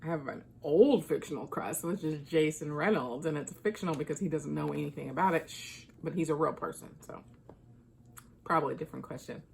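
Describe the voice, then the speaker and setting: Low-pitched woman chatting casually about books. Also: a little fast, vocal fry, slight background noise, poor audio. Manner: informal, conversational, thinking aloud. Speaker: book reviewer. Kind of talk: casual book chat vlog.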